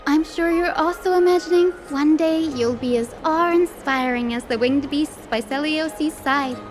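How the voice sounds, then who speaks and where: A woman voicing a gentle, nurturing young healer in a fantasy video game, speaking in an encouraging way. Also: sits high, moderate pace, soft background music, close to an everyday voice. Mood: tender.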